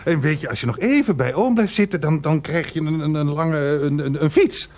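An actor in a Dutch radio play voicing a creepy older uncle who stammers, coaxes and repeats himself. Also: male voice, a little high, brisk, a little mellow. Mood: coaxing, nervous. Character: creepy, wheedling uncle, a childless shopkeeper.

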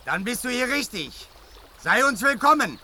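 An actor playing an eager, watchful courtier who calls out loudly in a fantasy radio drama. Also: male voice, very high-pitched, very animated, normal speed, a little hiss or hum, full character voice. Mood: hailing. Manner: calling out loudly.

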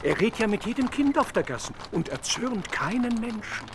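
A very high-pitched, gushing townsperson in a radio play, full of admiration. The voice swoops up and down over loud background ambience. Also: male voice, very animated, fairly quick, heavy background noise. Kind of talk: classic literary radio drama acting.

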